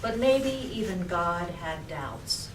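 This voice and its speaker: A woman recites her poem at a live reading, her voice a little low and her phrasing slow and deliberate. The recording is roomy and distant, with clear background noise. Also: lively intonation. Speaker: poet reading own poem. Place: public library reading room.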